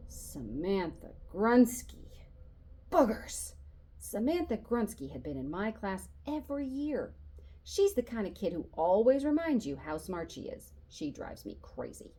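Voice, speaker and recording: A woman reading a children's novel aloud as an expressive storyteller, narrating in the voice of a boy and acting out the dialogue. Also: medium pitch, normal speed. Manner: animated, acts out dialogue.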